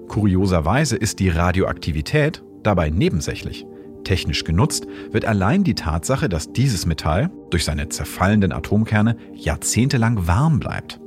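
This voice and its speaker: Brief: deep male host, lively intonation, calm explainer, faint music behind. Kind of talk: scripted science narration.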